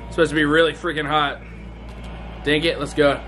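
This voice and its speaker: A man in his thirties vlogging to a handheld camera from a car and a hotel room, telling a rambling, excited story. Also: a little high, a little fast, faint music behind, poor audio. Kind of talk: casual vlog. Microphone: handheld camera at arm's length.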